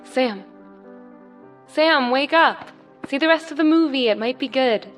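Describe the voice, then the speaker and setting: A woman playing a gentle, hopeful young suburban housewife in a radio drama, over music. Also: high voice, unhurried. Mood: gently coaxing.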